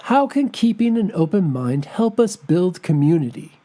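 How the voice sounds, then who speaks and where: A man narrating a children's folktale and asking discussion questions, reading gently and expressively. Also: high voice, very animated, normal speed. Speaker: storyteller. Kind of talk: scripted children's story narration. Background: music.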